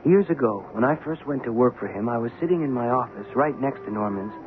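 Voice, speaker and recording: An actor playing an earnest, level-headed producer's assistant in a radio drama, plainspoken and questioning. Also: male voice, mid-range voice, lively intonation, fast, natural voice. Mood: confiding. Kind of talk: old-time radio drama acting. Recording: muffled, hissy old radio recording.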